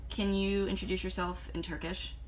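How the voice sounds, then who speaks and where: A woman interviewing a student, asking questions in a measured way with occasional ums. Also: mid-range voice, little pitch movement. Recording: faint background noise.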